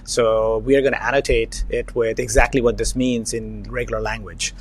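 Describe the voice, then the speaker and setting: A man's voice: mid-range voice, little pitch movement, normal speed, over a noisy crowd. A man hosting a podcast, asking long, hesitant questions with many fillers. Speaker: podcast host, investor. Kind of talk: interview questions, conversational.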